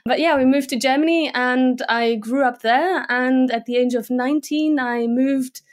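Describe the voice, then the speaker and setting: Woman, Slovak-born, English accent, young adult, chatty with fillers, fairly high voice. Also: fairly even intonation, normal speed. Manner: chatty, with many fillers and restarts. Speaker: guest, motion graphics designer. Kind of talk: casual interview conversation.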